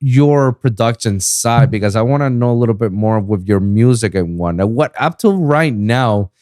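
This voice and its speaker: Brief: friendly podcast host, smooth clear voice in a dry room, chatty and animated. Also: male voice, medium pitch, moderate pace, no room sound. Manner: animated storytelling, calls people bro. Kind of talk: casual podcast chat.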